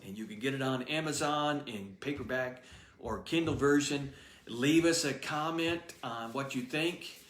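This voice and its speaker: A man reading his own novel aloud as storybook narrator, expressive and lively. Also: mid-range voice, unhurried. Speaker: author reading his book. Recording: roomy echoey room.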